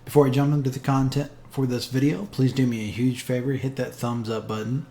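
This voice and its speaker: Guy doing a casual chart update, bit hoarse, mid pitch, chatty, faint hiss behind. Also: level tone, fairly quick, mellow. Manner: chatty, direct address with frequent fillers. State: slightly hoarse. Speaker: crypto market analyst, channel host. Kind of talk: casual market update over chart screen share.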